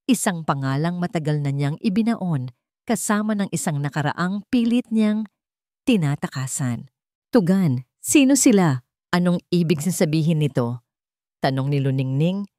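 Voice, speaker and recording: A woman reading a dramatic scripted story with a measured, suspenseful delivery. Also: low voice, a little mellow, dry recording, clean studio sound. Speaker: story narrator.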